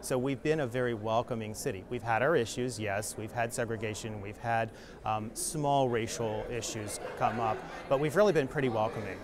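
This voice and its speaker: A man's voice: medium pitch, normal speed. Male interviewee, mid-range voice, reassuring casual answers, busy crowd behind him. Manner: reassuring, with fillers.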